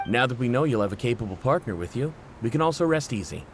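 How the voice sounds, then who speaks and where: A man acting a friendly, rough mercenary in a video game, with a casual, joking manner. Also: medium pitch, animated, quick pace, natural voice. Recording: music behind the voice.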